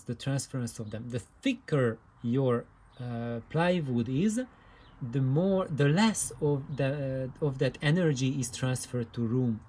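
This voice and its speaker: Slow, mellow-voiced man explaining. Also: mid-range voice. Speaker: presenter of hobbyist audio videos. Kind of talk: unscripted explanatory demonstration.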